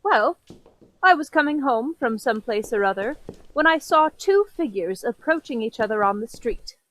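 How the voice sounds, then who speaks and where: Woman as a lively, theatrical Victorian storyteller. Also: fairly high pitch, moderate pace, low-quality recording. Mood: horrified storytelling. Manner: theatrical, chatty, formal storytelling. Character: genteel Victorian stroller telling a horrid tale, indignant. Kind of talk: old-fashioned radio play acting.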